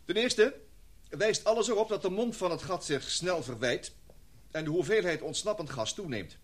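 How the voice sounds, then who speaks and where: A man's voice: high voice, expressive. Een man die in een hoorspel een ernstige, methodische professor speelt, met een bedachtzame, uitleggende manier van praten. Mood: earnest, grave. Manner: deliberate, explanatory. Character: earnest, methodical male professor advising a commission. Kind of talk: radio drama acting.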